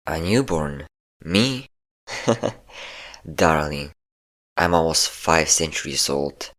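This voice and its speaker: Man acting as ancient male vampire, low-pitched voice, flirtatious, taunting, menacing, intimate audio roleplay. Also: expressive, fairly bright tone, heavily acted. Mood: amused, flirtatious. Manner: taunting, flirtatious, slow endearments.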